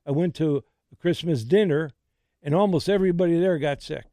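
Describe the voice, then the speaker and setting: Older man, slightly raspy, rambling host. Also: on the high side, fairly quick, mellow, no echo. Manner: rambling, restarts often, laughs easily. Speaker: radio show host. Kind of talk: casual on-air chat.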